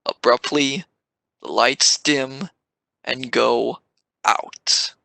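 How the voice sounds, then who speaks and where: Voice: medium pitch, steady tone, extremely slow, gravelly, sharp tone, no room sound. A narrator reading the stage directions of a live amateur radio play in a dramatic way, stressing sudden events.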